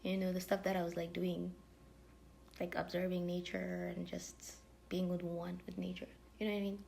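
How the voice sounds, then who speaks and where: A woman's voice: fairly low pitch, little pitch movement, moderate pace, gravelly, warm tone. A woman vlogger chatting to camera in a rambling, casual way, full of fillers and dropping now and then into whispery asides. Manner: drifting, many fillers, drops into whispery asides.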